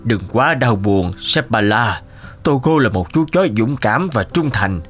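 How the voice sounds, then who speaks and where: An actor playing a solemn, kindly town doctor in an animated film, measured and consoling. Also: male voice, medium pitch, soft background music.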